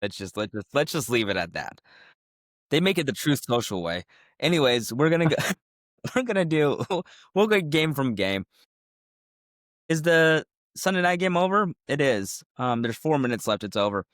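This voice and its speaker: A man's voice: mid-range voice, very animated, normal speed, a bit bright, no echo, clean studio sound. A man co-hosting a sports podcast in casual banter, joking and laughing often, a little under the weather. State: sick, recovering from illness. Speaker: podcast co-host.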